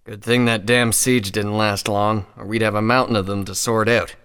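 A man's voice: lowish voice, flat delivery, moderate pace, a bit bright, clean tone, close to an everyday voice. A man voicing a sour, scornful male pony in a story, muttering and sardonic.

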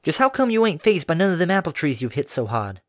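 A man's voice: on the high side, very animated, quick pace, fairly bright tone, dry recording, clean studio sound, voice pushed up. A man voicing a blunt, suspicious young farm mare with a Southern drawl. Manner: gruff, folksy, blunt.

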